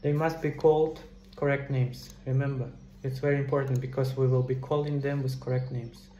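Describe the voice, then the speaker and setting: Middle aged guy doing a casual screen tutorial, soft dark tone, bit of room echo. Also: medium pitch, flat delivery, fairly quick. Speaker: game developer giving a tutorial. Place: small indoor room at a computer. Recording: roomy echo, faint background noise.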